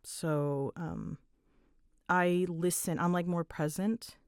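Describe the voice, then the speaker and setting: Young female creator, casual Q&A chat, a touch low-pitched, informal and giggly, very dry sound. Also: fairly even intonation, slow pace, smooth, dry recording. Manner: self-deprecating, giggly, informal. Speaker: voice actor and channel creator. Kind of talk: casual solo Q&A chat to listeners.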